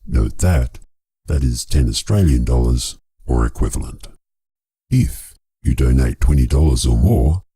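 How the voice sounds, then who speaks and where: A man with an extremely deep, very husky voice speaks slowly and formally to his viewers. He is a storyteller making a scripted appeal, wordy and drily playful, with a gravelly edge in a dry, close recording. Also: dark tone, dry recording. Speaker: storyteller and creator addressing viewers.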